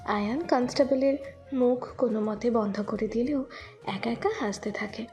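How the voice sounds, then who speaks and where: Fairly high-voiced female story narrator. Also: normal speed, a little mellow, soft background music. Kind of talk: scripted romance story narration.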